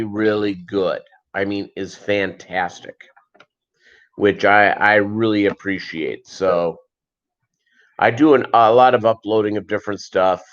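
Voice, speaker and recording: Guy chatting casually on a livestream, middling pitch, rambles and answers comments, kinda muffled lo-fi audio. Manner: rambling, reads and answers viewer comments aloud. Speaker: livestream host of a fishkeeping channel. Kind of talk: casual live chat with viewers.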